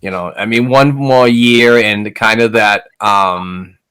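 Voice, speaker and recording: A man co-hosting a casual hockey podcast, halting and full of fillers. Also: lowish voice, sharp tone, clear, resonant tone. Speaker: podcast co-host. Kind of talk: casual chat.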